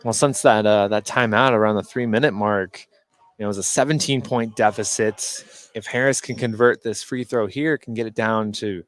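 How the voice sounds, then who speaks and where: A man's voice: fairly low pitch, fairly even intonation, moderate pace. An American man giving live basketball analysis as a color commentator, with hesitant, conversational phrasing.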